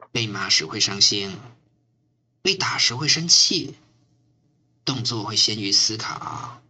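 A woman's voice: a bass voice, bright, forceful tone, a little hiss or hum, exaggerated voice. A woman playing a sardonic, weary, foul-mouthed smoker on a riot squad in an amateur radio drama, with a teasing, griping manner.

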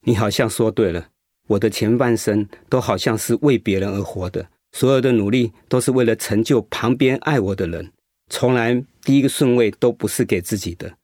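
A man playing an earnest, grateful recovering patient in a radio drama, speaking in a reflective, measured way. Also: lowish voice, a little mellow, no echo, clean studio sound, natural voice.

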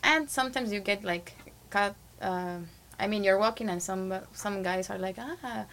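A young woman from Guatemala, a Spanish speaker talking in English, chats through an interview at a mid pitch. She hesitates often, piling up fillers and restarts as she finds her words. There is a faint hiss of noise behind her. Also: moderate pace, fairly bright tone. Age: young adult. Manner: hesitant, many fillers and restarts, frequent laughs. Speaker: interview guest, international student. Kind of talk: casual conversational interview.

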